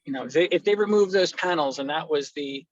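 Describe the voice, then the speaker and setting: A man from the building department joining a meeting by video call, speaking in measured, questioning turns. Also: on the high side, no echo. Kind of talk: remote meeting participation. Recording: dull, dry call audio.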